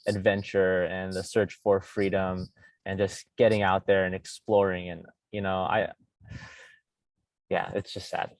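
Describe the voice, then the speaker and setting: A man hosting a podcast, talking in a laid-back, halting way, repeating words and saying "man" and "you know.". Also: low voice, little pitch movement, no echo. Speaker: podcast host. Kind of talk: casual chat.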